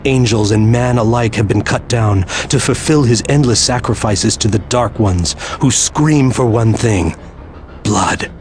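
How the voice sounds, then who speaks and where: An actor playing a grim, resolute adventurer in a video game, speaking in a solemn, declamatory way. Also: male voice, on the low side, unhurried, darkish tone, slight background noise, close to an everyday voice. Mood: grim resolve. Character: grim male adventurer hero, resolute and stern.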